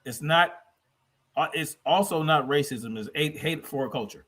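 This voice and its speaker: A man's voice: a little high, moderate pace, a bit bright. A man hosting a livestream in a combative, blunt, profane way, repeating phrases for emphasis as he reacts to clips and chat. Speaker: livestream host.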